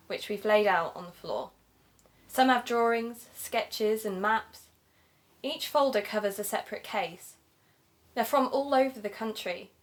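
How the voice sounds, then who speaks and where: Earnest female podcast investigator acted by a woman, polite, medium pitch, audio drama narration and dialogue. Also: lightly acted.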